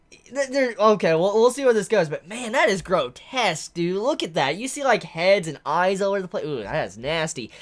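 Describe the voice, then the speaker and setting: A woman giving a chatty, excitable live manga reaction while congested from a head cold. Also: fairly high pitch. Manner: excitable, chatty, exclaims often.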